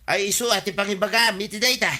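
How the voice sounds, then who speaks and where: A man's voice: sits high, steady tone, fast, sharp tone. A man playing an exasperated, scolding village husband in a comedy radio drama, nagging with an Ilocano accent.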